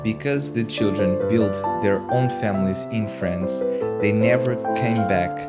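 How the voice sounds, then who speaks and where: A young man, narrating an urban-exploration vlog in an earnest, plain way, with a few halting restarts. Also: on the low side. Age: young adult. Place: indoors, in a decaying abandoned house. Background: loud guitar music.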